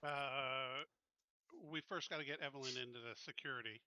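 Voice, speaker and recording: A man's voice: medium pitch, steady tone, normal speed, bright, forceful tone, highs cut off. A man playing a tabletop roleplaying game, talking casually as he makes decisions.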